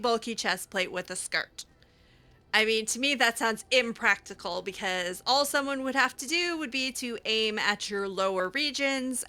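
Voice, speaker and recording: A woman improvising in-character chat over a video game, casual and halting, with a teasing streak. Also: fairly high pitch, bright, forceful tone. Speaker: gaming channel host, roleplayer. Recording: music behind the voice. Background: game music.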